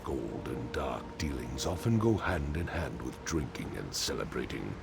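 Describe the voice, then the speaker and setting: A man's voice: a bass voice, sing-song, normal speed, creaky, full character voice. A man playing a hard, vengeful orc chief in a fantasy video game, terse and commanding. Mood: stern, commanding. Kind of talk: video game character dialogue. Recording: game audio with music behind.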